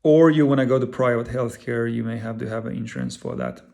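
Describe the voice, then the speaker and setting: A man from Finland speaking English with a Finnish accent, talking straight to his viewers in an earnest, halting way full of fillers and repeated words. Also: mid-range voice, sing-song, creaky, a little mellow. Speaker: vlogger. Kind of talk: personal direct-to-camera talk.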